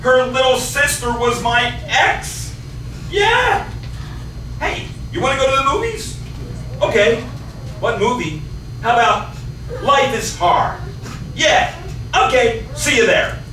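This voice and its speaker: A man's voice: extremely high pitch, big pitch swings, takes it slowly, pauses often, pushed and bright, heavily acted. A man playing a hapless, bewildered boyfriend in a staged script reading. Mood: deflated. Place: small theatre stage, distant camera. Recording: very echoey theatre, distant, noisy.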